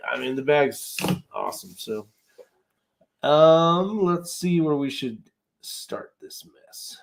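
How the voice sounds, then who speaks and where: A man's voice: fairly high pitch, animated, slow pace, gravelly. A man hosting a casual live-stream chat, talking in an informal, loose and rambling way. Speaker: host of a shooting live chat show.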